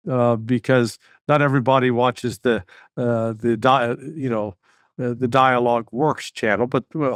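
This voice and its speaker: An older man hosts a talk show and rambles through his introductions, stumbling and restarting often. His voice sits at a middle pitch. It is recorded dry and close. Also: no room sound. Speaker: show host. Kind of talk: casual interview hosting.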